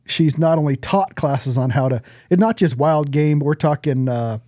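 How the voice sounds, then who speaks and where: A man's voice: a little high, moderate pace, mellow, no room sound, studio quality. A man co-hosting a podcast in casual conversation, talking bluntly and plainly with some casual swearing. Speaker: podcast co-host.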